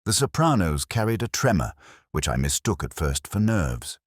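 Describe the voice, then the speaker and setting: Very deep-voiced man narrating a brooding mystery. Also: very animated, moderate pace, breathy edge, dry recording, studio quality. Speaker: first-person narrator of a detective story. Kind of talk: scripted literary mystery narration.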